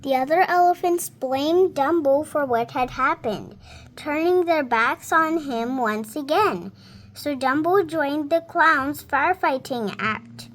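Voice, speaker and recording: A girl of about seven reading a storybook aloud in a careful, halting, word-by-word way. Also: high voice, a bit bright. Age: child, about seven. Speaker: young reader.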